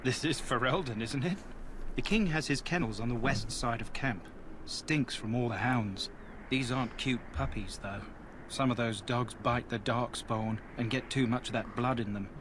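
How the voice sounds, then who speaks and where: A man's voice: mid-range voice, moderate pace, noisy background, close to an everyday voice. An actor playing a friendly, chatty male soldier in a video game, talking in a folksy, gossipy way. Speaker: soldier in a war camp.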